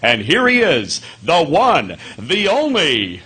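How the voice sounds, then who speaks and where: An actor playing a polished, booming 1950s radio quiz show announcer. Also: male voice, huge pitch swings, slow pace, very noisy, full character voice. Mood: grand.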